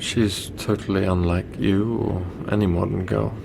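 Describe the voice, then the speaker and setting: A man's voice: sits low, little pitch movement, unhurried, vocal fry, background noise, full character voice. An actor playing a guarded, self-absorbed, conflicted young lover in a radio drama, hesitant and confessional as he narrates and talks. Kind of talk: radio drama acting with first-person narration.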